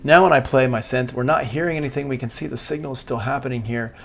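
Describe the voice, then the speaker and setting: Gravelly man giving a casual software tutorial. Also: medium pitch, fast talker, a little hiss or hum. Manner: casual, informal. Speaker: music production instructor. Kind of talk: live software tutorial, thinking aloud. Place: indoors, livestream class.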